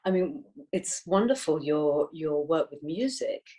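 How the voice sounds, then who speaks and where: Low, mellow-voiced woman interviewer. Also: lively intonation, a little slow. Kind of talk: interview questions.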